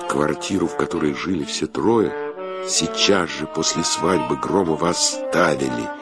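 An actor narrating a comic literary tale as the storyteller of a radio play. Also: male voice, rumbling low, moderate pace. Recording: brass music behind the voice.

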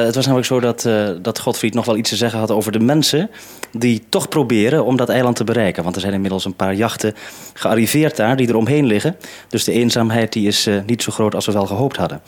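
A man giving an explanatory account on a broadcast, as a programme maker. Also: on the low side.

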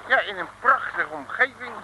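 Bossy, cowardly comic detective, swooping high voice, old echoey radio serial. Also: male voice, animated, moderate pace. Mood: blustering. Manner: blustering, colourful comic slang. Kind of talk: 1950s-60s comic radio serial acting. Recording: old radio recording, echoey, faint noise.

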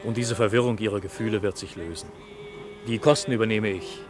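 A man playing an earnest, grateful gentleman in an old German radio drama. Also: lowish voice, steady tone, brisk, over music, natural voice.

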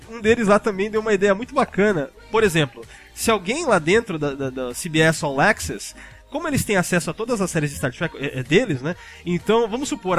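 A man's voice: high-pitched, a bit bright. A man with a Brazilian accent chatting casually as a podcast panelist, talkative and informal, with some casual swearing. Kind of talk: casual chat.

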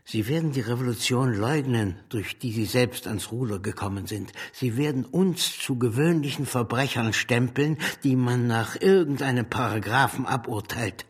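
An unbowed male revolutionary leader played by an actor makes resolute declarations in a radio play. His voice is very husky and mid-pitched. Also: normal speed, few pauses, lightly acted. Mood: defiant. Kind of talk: German radio drama acting.

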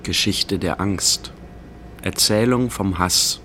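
A man playing a detached narrator in a literary radio drama, speaking in terse, solemn, measured phrases. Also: low-pitched, sharp tone, a little hiss or hum, heavily acted.

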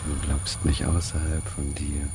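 An actor playing evil incarnate in a German radio play, a taunting spirit who speaks in a solemn, insinuating, menacing way. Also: male voice, extremely low pitch, flat delivery, measured pace, over music.

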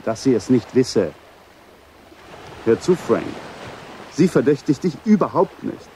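Composed, courteous confidant, mellow voice. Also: male voice, mid-range voice, big pitch swings, slight background noise. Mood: soothing, urging. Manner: polite, measured, probing. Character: composed, courteous adult man, discreet confidant. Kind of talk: German radio drama acting.

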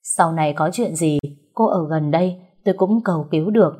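Lady narrator as a gushing grateful official guy in an audiobook tale, husky, exclaims a lot. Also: sits low, brisk, warm tone. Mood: reassuring. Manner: exclaiming, deferential. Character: effusive, grateful male household master, a district official.

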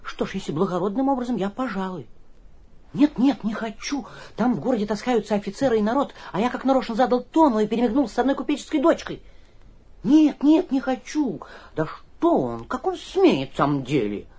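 An actor playing a flighty, cowardly young gentleman from the capital who blusters, stammers and repeats himself in a theatrical radio comedy. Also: extremely high pitch, very animated, brisk, slightly rough, exaggerated voice. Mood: anxious bravado. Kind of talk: theatrical radio play acting of a classic comedy.

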